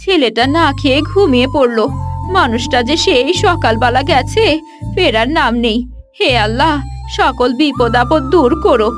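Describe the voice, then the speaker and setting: A woman's voice: high voice, animated, moderate pace. A woman voicing a poor, worried village wife and mother in a cartoon moral story. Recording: music clearly behind the voice.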